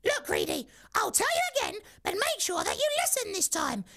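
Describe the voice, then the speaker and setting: A woman voicing an excitable, slow-witted parrot who squawks between lines in a children's puppet comedy. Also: very high voice, very noisy, heavily acted. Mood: excited.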